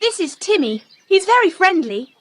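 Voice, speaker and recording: An actress playing an eager, polite young schoolgirl adventurer in an audiobook drama, excitable and sweet-mannered. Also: extremely high pitch, moderate pace, dry recording. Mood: delighted, friendly.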